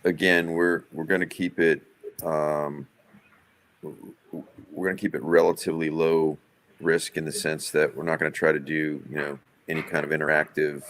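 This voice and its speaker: Low-voiced man, halting in business talk. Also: level tone, fairly bright tone, slight background noise. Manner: hesitant, halting, repeats word starts. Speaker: live event producer planning a show. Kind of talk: casual business meeting talk.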